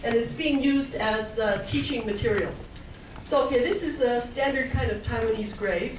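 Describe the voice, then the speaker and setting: High-voiced woman lecturing in an echoey room. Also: normal speed. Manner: explanatory, checks in with frequent "okay?" and "right?". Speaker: guest lecturer, anthropologist. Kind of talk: informal public lecture with slides. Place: indoor meeting room with audience. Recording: echoey room, distant mic, background noise.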